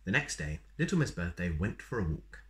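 A man in his thirties reading a children's story aloud in an expressive, playful way. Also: low voice, a little fast. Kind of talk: animated read-aloud with asides to young listeners. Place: small plain room indoors.

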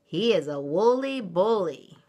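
A woman's voice: mid-range voice, big pitch swings, measured pace, darkish tone, pitched above the performer's own voice. A woman voicing a tiny, fearful chihuahua sidekick from a storybook, panting and nervous.

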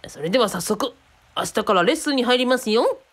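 A woman's voice: on the high side, lively intonation, fairly quick. A woman playing a courteous, earnest, slightly cheeky young man in a radio drama. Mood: earnest, insistent. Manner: courteous, matter-of-fact.

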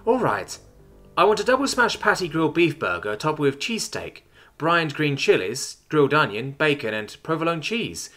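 A man's voice: a little high, bright, forceful tone, voice pushed up. A man voicing a blunt, roguish human woman spacefarer with a casual, cocky, sweary manner in a story.

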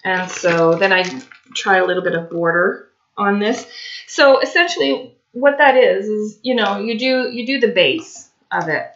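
A woman in her forties, slightly raspy, chatting casually at home about her craft journal pages with lots of fillers. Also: medium pitch, lively intonation, unhurried, fairly bright tone. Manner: chatty, rambling, many fillers. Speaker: craft and tarot vlogger. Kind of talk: casual chat while showing craft pages. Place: home dining room by a china cabinet. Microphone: webcam at desk. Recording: slightly dull lo-fi webcam audio.

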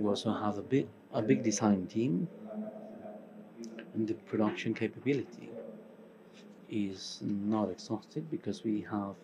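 A middle-aged man with a Portuguese accent, mixed with some Spanish, giving a conference talk as a company co-founder. He speaks in short, halting phrases with pauses. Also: lowish voice. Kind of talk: conference presentation. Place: large conference hall stage, indoors. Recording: conference hall with audience noise.

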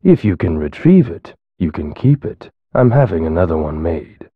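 A creepy, courteous cemetery keeper from a video game. His voice is low and husky, with an unhurried, drily macabre delivery. The audio is slightly dull. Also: big pitch swings, dry recording, exaggerated voice. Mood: eerie, courteous. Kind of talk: video game character acting.

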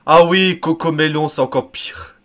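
A man's voice: a little high, normal speed, a bit bright. A young man doing casual, mocking game commentary in a crude, grumbling, sarcastic way. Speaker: gaming video host. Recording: game music behind. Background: cartoon game music and dialogue.

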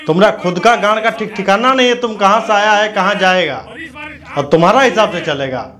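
A man's voice: high-pitched, a little fast, fairly bright tone. A man delivers a combative political rant to camera on a lapel mic, scornful, abusive and confrontational. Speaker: news commentator.

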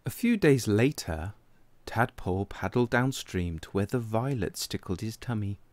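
A man reading a children's picture book aloud as an expressive, deliberate narrator. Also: lowish voice, no room sound. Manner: animated, deliberate. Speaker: storybook reader.

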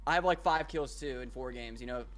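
A man's voice: mid-range voice, brisk, crowd noise. A man who makes videos, talking casually during a game, chatty and jokingly boastful. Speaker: guest content creator. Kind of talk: casual chat while gaming. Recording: noisy mic with background hiss.

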